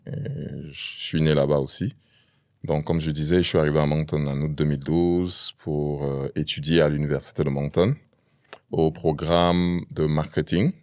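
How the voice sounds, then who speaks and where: Very deep-voiced man from Cameroon. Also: fairly even intonation, normal speed, darkish tone, dry recording, studio quality. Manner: hesitant, many fillers. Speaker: podcast guest. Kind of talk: casual interview.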